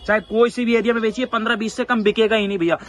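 A man vlogging from an outdoor wholesale market, excitedly quizzing a shopkeeper about prices and repeating them for viewers. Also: sits high, very fast, music in the background. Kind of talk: upbeat wholesale market vlog.

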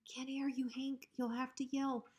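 A woman plays a timid little storybook dog, a sidekick who wriggles out of everything. His voice is high, small and often breathy. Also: level tone, measured pace, a little mellow. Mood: evasive. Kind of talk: children's storybook reading.

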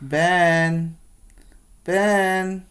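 Man voicing a strict storybook schoolmistress, raised pitch. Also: steady tone, very slow, a bit bright, heavily acted. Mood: firm. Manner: firm, scolding, commanding. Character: strict woman kindergarten teacher in a storybook.

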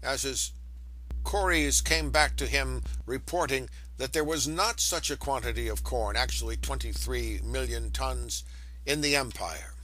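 A man reading a lecture aloud in a deliberate, emphatic way. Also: mid-range voice, pushed and bright, rough recording. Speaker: reader of an audiobook lecture. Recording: home recording with faint background hum.

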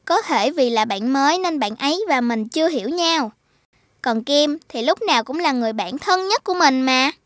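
A woman's voice: sits high, lively intonation, normal speed, no room sound, clean studio sound. A woman voicing a friendly young schoolgirl in a children's cartoon, sweet and chatty. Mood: gentle. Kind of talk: cartoon voice acting.

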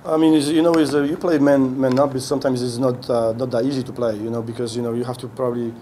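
A middle-aged man, a head coach, answering questions at a post-game press conference, speaking haltingly with many restarts as he searches for words. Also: mid-range voice, flat delivery, warm tone, a little hiss or hum. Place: indoor press room. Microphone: podium mic on a stand.

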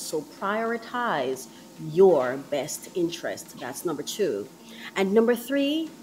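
A woman hosting a podcast, casually sharing encouraging tips while walking on a treadmill indoors, repeating her points gently. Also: medium pitch, slight background noise, low-quality recording. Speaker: podcast host.

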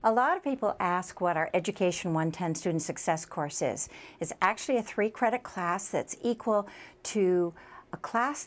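A woman working as a college adviser, giving an informational presentation with emphatic, explanatory delivery. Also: on the low side.

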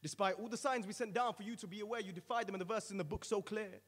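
A man's voice: fairly high pitch, very fast, darkish tone, in a large hall, natural voice. A man voicing a stern male angel of punishment who delivers a roaring, solemn condemnation in rhyme. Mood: accusing.

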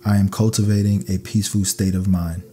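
A man narrating scripted sleep meditation affirmations in a calm, soothing, measured way. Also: low voice, a little hiss or hum.

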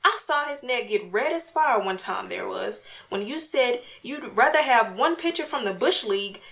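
A woman's voice: on the high side, animated, moderate pace, vocal fry, fairly bright tone, breathy edge, exaggerated voice. A woman playing an earnest, peacemaking housewife and mother in an old-time radio comedy, folksy, plaintive and coaxing. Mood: exasperated.

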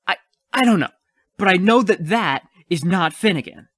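A man's voice: high-pitched, animated, normal speed, fairly bright tone, dry recording, studio quality. A man playing a frightened but defiant, clever child in an audio play. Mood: alarmed, defiant.

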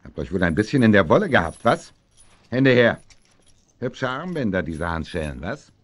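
An actor playing a brisk, authoritative, wry policeman in a German children's radio play. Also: on the low side, very animated. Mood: commanding. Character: brisk, commanding policeman arresting crooks. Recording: dull 1970s audio drama recording.

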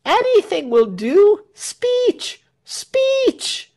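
An excitable villager from a cheering crowd, pitched far up into a shriek. The cries are short, loud and eager, in storybook style. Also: male voice, drawn-out words, heavily acted. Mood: clamouring, excited.

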